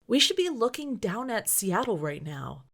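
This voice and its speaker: Female astronaut, voiced by a woman reader, alarmed, questioning, slightly raised pitch, storybook reading. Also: sing-song, normal speed, gravelly, a bit bright, full character voice. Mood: puzzled. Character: alarmed female astronaut aboard a space station.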